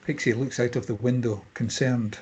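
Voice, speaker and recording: A man's voice: mid-range voice, steady tone, normal speed, a little mellow, husky. A man reading screenplay stage directions as scripted narration, in clipped phrases.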